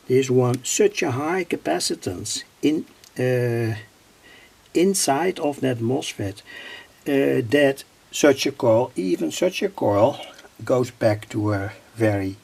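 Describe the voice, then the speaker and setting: Slow gravelly man at a workbench. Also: mid-range voice, darkish tone. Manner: halting, with pauses, fillers and self-corrections. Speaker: radio hobbyist demonstrating a circuit. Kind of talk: unscripted workbench demonstration.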